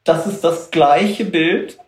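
A man's voice: a little high, slow, roomy sound. A man explaining production work informally in an interview, hesitant and correcting himself as he goes. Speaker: visual production expert.

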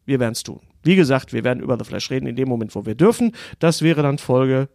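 A man's voice: mid-range voice, lively intonation, fast, vocal fry, a little mellow, no echo, studio quality. A male podcast host chatting casually, playful and often repeating himself for emphasis. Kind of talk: casual banter.

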